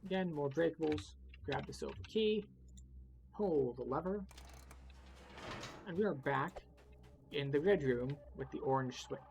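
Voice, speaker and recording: A man's voice: fairly high pitch, lively intonation, a little slow, creaky, long pauses, noisy background. A man giving casual, wry, explanatory commentary as he guides a video game playthrough. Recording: game music behind the voice. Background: video game music.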